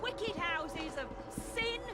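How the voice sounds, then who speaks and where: An actress playing an indignant public orator in a video game, declaiming and pleading dramatically. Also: female voice, very high-pitched, animated, takes it slowly, over loud music, exaggerated voice. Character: impassioned, indignant female public orator at a rally.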